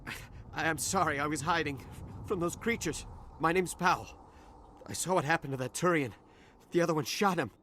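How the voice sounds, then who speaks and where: A nervous, cowardly dockworker from a video game played by a man. His voice sits fairly high and he stammers and hesitates jitterily, with faint ambience behind him. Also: moderate pace, fairly bright tone, a little hiss or hum, close to an everyday voice. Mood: shaken, nervous.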